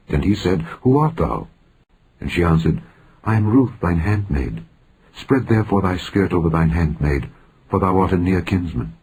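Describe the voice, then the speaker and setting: A man reading scripture aloud as a scripted narration, solemn and deliberate, with frequent pauses between phrases. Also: low-pitched, a little mellow, slight background noise, poor audio. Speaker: scripture reader.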